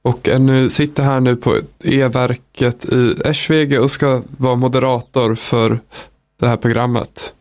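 A man's voice: medium pitch, flat delivery, measured pace. Swedish-accented man moderating local radio. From Sweden. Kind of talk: casual radio intro.

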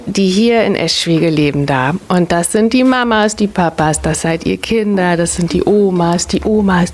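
A woman's voice: mid-range voice, darkish tone. A woman in her thirties, a city family-office worker, patiently answering children's questions in simple words, often repeating the question back first. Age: 30s.

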